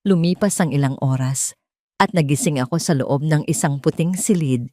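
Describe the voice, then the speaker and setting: A woman's voice: deep voice, normal speed, warm tone, no echo, studio quality. A woman reading a scripted first-person story in a solemn, melodramatic way. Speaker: story narrator.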